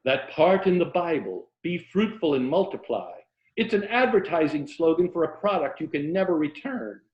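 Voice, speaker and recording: A man's voice: a little high, sing-song, voice pushed up. A man acting out a grandiose middle-aged rogue from a novel, full of florid romantic pronouncements. He draws out his emphasis like a stage orator. The sound is roomy and slightly dull. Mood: sly, lecturing. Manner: florid, declamatory, drawn-out emphasis. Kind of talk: audiobook-like novel reading. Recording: roomy, dull video-call audio.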